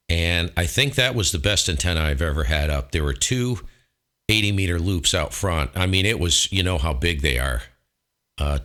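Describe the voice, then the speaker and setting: A man hosting as a ham radio operator, talking technical matters in an informal, chatty way with pauses to think. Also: low voice, a bit bright. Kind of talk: casual technical radio chat.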